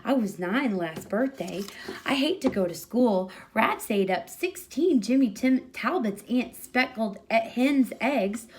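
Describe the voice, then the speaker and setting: A woman voicing a boisterous ten-year-old boy from a storybook, full of excitable, rambling chatter. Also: a little high. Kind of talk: storybook character reading.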